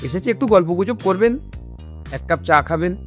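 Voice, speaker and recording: High-voiced, animated, considerate younger man. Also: moderate pace. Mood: reassuring, explaining. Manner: polite, reassuring. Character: considerate, reassuring younger family friend of an old man. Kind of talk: Bengali radio drama acting. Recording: dull lo-fi audio with background music.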